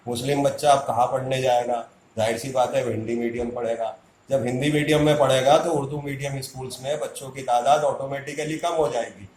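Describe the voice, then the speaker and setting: A man's voice: mid-range voice, darkish tone, echoey room, slight background noise, rough recording. A man makes an indignant public statement as a community activist, arguing hard and firing off rhetorical questions.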